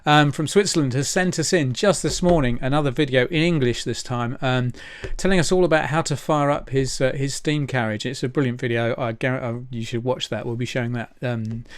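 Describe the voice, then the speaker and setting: A man's voice: medium pitch, dry recording. A man hosting an informal livestream, chatty and hesitant as he rambles through announcements. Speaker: event host and presenter.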